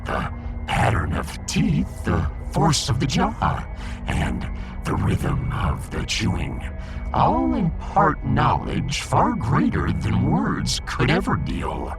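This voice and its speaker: Villainous alien fish overlord: croaky, pompous, theatrical, wildly swinging intonation. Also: male voice, mid-range voice, huge pitch swings, over loud music, full character voice. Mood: haughty. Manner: pompous, theatrical, slow and deliberate. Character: pompous alien fish emperor, menacing self-styled god. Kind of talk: sci-fi audio drama acting.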